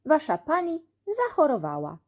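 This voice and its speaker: A woman's voice: sits high, big pitch swings, moderate pace, a little mellow, pitched above the performer's own voice. A woman voicing a stern, commanding male school supervisor in a children's storybook. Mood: stern, instructing.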